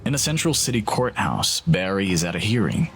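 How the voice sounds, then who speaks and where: A man's voice: lowish voice, very animated, fairly quick. A man narrating a scripted, dramatic comic-book story. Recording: voice under loud music.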